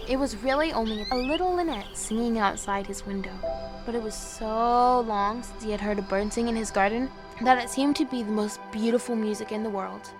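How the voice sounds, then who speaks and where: An actress playing a gentle young woman who reads a fairy tale aloud in an audio drama, measured and earnest. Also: on the high side, close to an everyday voice. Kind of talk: storybook narration in an audio drama. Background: music.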